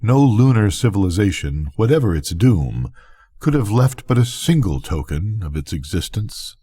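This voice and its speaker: An older man reading a bedtime story as scripted narration, with measured, deliberate phrasing. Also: lowish voice, very animated, dark tone, breathy edge. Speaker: reader of bedtime stories.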